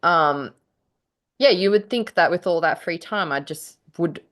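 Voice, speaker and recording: A woman's voice: on the low side, a little slow, a bit bright. A woman co-hosting a casual podcast chat, often laughing as she talks. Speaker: podcast co-host and writer.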